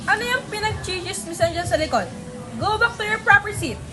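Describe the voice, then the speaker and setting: A woman acting a stern but motherly high school teacher with a Filipino accent in a radio drama, commanding and no-nonsense. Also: lively intonation, fairly quick, music in the background.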